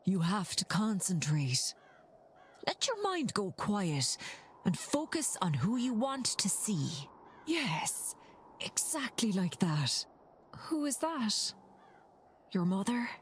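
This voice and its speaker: An actress playing a poised female mystic in a mystery game, guiding with measured, encouraging instruction. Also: mid-range voice, very animated, slight background noise. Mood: instructive. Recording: background ambience under game dialogue.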